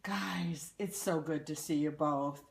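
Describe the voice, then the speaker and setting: A woman doing a flustered young man, pitched lower than her own voice, stammering his words and then pushing back firmly. he sounds worn thin, trying to calm a crowd of over-eager friends. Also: slow pace, vocal fry, roomy sound, full character voice. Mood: apologetic. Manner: stammering, correcting others firmly.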